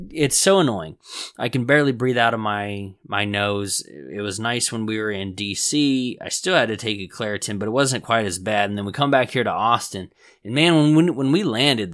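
A man's voice: on the low side, animated, normal speed, a bit bright, no room sound, clean studio sound. A man from Texas hosting his podcast, talking in a chatty, friendly way full of restarts, his voice nasal from congestion. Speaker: podcast host. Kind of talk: casual solo podcast talk. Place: Round Rock, Texas area home studio.

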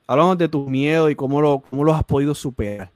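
A man's voice: on the high side, fast, dry recording. Preachy Spanish-speaking man host. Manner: preachy, repeats phrases for emphasis.

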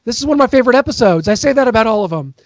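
A man's voice: very high voice, expressive, rapid-fire, darkish tone, no echo. A man co-hosting a podcast, eagerly recounting a TV episode's plot in casual chat. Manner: enthusiastic, recounts plot in detail. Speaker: podcast co-host.

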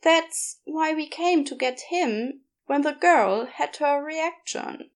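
Wry, needling nonbinary neighbour for story audio, female performer: dry delivery, measured pace, raised pitch. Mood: dry, knowing. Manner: dry, teasing, pointed.

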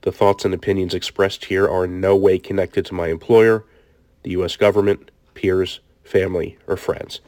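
A man's voice: low-pitched, level tone, darkish tone, no echo, clean studio sound, lightly acted. A man playing a self-justifying research doctor in his late forties, formally reading a diary in an audiobook. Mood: clinical, explanatory. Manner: formal, measured explaining. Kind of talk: scripted audiobook diary monologue.

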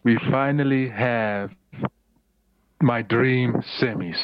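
A man talking football with friends in casual chat, excitable and emphatic, swearing freely. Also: medium pitch, takes it slowly, clear, resonant tone. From South Africa. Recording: dry, dull lo-fi audio.

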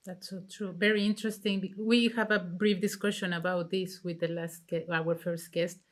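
A woman's voice: fairly low pitch, flat delivery, moderate pace. A woman hosting an interview, agreeing often and asking follow-up questions. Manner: agreeable, many short affirmations. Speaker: interview host. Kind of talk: casual interview chat.

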